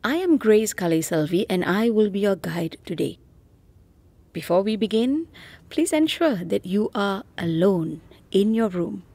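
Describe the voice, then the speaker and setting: A woman guiding a relaxation exercise, speaking softly with long pauses and now and then slipping toward a whisper. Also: medium pitch, animated, dry recording. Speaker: meditation guide. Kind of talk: guided relaxation narration.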